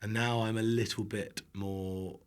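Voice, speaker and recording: A man's voice: fairly low pitch, little pitch movement, unhurried, clear, resonant tone. A British man, an online cooking creator and podcast guest, chats casually in a jokey, self-deprecating way, with casual swearing. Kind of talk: casual chat.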